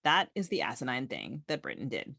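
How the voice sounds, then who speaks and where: A woman's voice: very deep voice, wildly swooping pitch, brisk, creaky, pushed and bright, husky, no room sound, clean studio sound. An American woman co-hosting a podcast in casual, opinionated banter with a wry, informal manner. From United States. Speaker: podcast co-host.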